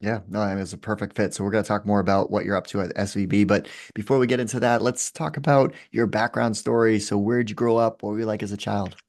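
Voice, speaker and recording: A man's voice: fairly low pitch, steady tone, fast, hardly any pauses, darkish tone. Friendly middle-aged podcast host man. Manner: friendly, laughing, filler-heavy. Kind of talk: casual interview questions.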